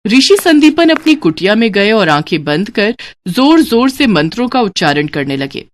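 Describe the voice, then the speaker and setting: A woman narrator reading a scripted bedtime tale in an expressive, dramatic storytelling style. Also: mid-range voice, moderate pace.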